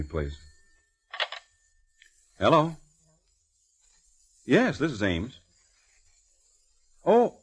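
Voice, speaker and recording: Husky, earnest assistant director. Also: male voice, medium pitch, fairly bright tone, heavily acted. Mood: shocked. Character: brisk, earnest male assistant movie director. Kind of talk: 1940s radio drama acting.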